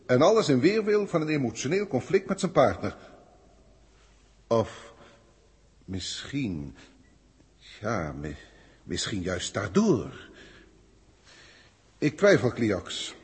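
A pompous senior alien official of an old sci-fi radio play. His voice is very husky and swings widely in pitch, with formal, exasperated delivery. A long hall reverb surrounds it. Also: darkish tone, heavily acted. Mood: agitated, musing. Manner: formal, theatrical, verbose. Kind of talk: 1960s Dutch radio drama acting.

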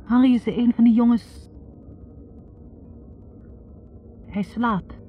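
An actress playing a wounded, bitter young academic woman confessing in a halting, accusing way in a 1960s radio drama. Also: on the high side, fairly even intonation, a little hiss or hum, close to an everyday voice. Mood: defiant, resolute. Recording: tinny narrow-band 1960s radio recording.

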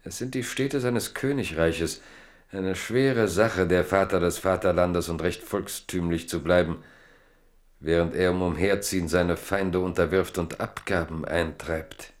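Deep voiced guy narrating a radio drama, deliberate, literary, kinda rhetorical. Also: fairly even intonation. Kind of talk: scripted radio play narration.

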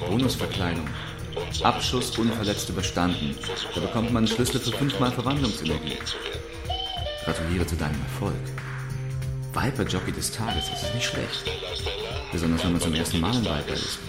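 A man's voice: low-pitched, animated, a little fast, pauses often. An actor playing a laconic, curious and mysterious man in a German radio drama, cool and understated. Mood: cool congratulation. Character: laconic, curious, mysterious male game-world stranger. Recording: echoey room, loud music underneath.

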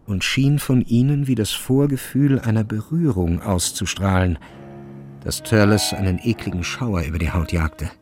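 A man narrating a literary radio play from a script in a measured, deliberate storytelling manner. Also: on the low side, a little mellow. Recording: studio radio play with music behind.

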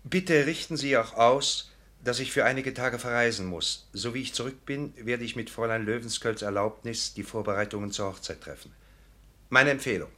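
Actor as a bright, forceful formal gentleman. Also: medium pitch, normal speed, lightly acted. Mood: stiffly polite. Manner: formal, clipped, stiffly courteous. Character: formal, brisk gentleman ironworks owner and suitor. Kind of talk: German literary radio drama acting.

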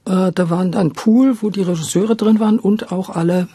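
An older man looks back in an interview, in a husky, mellow voice that often breaks into creak. He pitches a little high for a man and speaks reflectively, hesitating and rephrasing as he thinks. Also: steady tone, moderate pace, dry recording. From Berlin, Germany. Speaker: interviewee, radio drama director. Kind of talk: reminiscing in a conversational interview.

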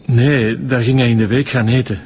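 A man's voice: medium pitch, steady tone, normal speed, darkish tone, husky, close to an everyday voice. A man with a Flemish accent playing a pious, formal church sexton in a radio drama. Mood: prim, uneasy. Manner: formal, deferential. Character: pious, prim, grieving male church sexton. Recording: echoing church-like reverb, long tail.

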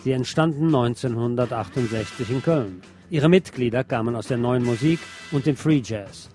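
A man reading a scripted music feature as a radio presenter, in a measured, articulate, literary manner. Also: medium pitch, warm tone. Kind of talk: scripted narration.

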